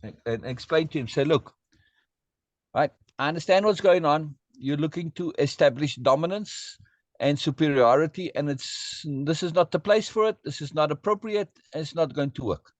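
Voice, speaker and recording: A man giving blunt, emphatic advice to a friend in casual conversation, slowing down to press his points. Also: fairly high pitch, no echo. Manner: emphatic, lecturing advice, slow for stress.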